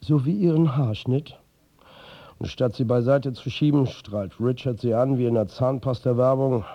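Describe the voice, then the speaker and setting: A man plays a world-weary football manager telling his own story in a radio drama. His voice is husky and blunt, with a sardonic, confiding edge. The sound is very dry and a little muffled. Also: mid-range voice, normal speed, no room sound, close to an everyday voice. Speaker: actor narrating as the lead character. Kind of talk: first-person radio drama narration.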